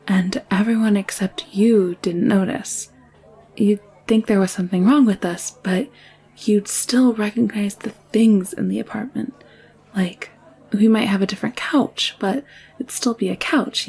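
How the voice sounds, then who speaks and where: Woman doing an anxious secretive alien nurse girl, hushed n breathy w some vocal fry, audio roleplay confession. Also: mid-range voice. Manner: hushed, hesitant, confiding. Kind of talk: intimate scripted audio drama acting.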